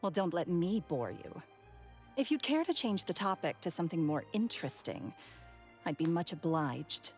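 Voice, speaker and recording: A woman's voice: fairly low pitch, big pitch swings, moderate pace, darkish tone. A woman playing a poised young villainess in a video game, coolly polite and sly. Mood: coy menace. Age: young adult. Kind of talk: scripted game dialogue. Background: game music.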